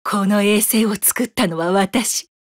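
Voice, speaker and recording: A woman's voice: mid-range voice, slow, no echo, clean studio sound, close to an everyday voice. A woman playing an imperious, megalomaniac commander of a secret satellite superweapon in a video game, with a haughty, theatrical, commanding delivery. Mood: megalomaniac, gloating. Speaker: military satellite weapon operator. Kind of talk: dramatic Japanese video game voice acting.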